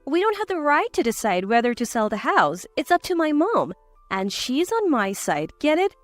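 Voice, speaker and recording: A woman's voice: high voice, big pitch swings, normal speed, no echo, soft background music. A woman voicing a cool-headed, defiant wife in a dramatized story, assertive and cutting. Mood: cool, scornful.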